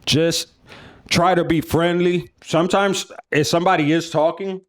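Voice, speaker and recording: A man in his thirties with a loud, deep voice, speaking bluntly and casually as an interview guest. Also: fairly even intonation, normal speed, dry recording, studio quality. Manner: blunt and frank, swears casually. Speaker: comedy club co-owner, guest.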